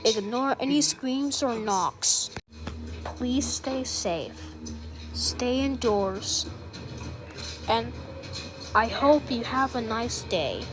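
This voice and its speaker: A very high, slow female voice delivers a formal emergency broadcast as a grave president, acted by a woman in a homemade story. The phrasing is that of an official announcer reading scripted warnings, and loud music plays behind it. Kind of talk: scripted emergency alert narration.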